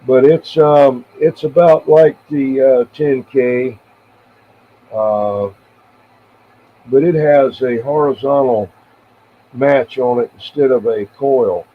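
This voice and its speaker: An American man in his seventies chatting casually on CB radio in a halting, rambling, reminiscing way. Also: medium pitch. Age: 70s. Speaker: CB radio operator and live streamer. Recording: tinny band-limited radio audio with faint noise.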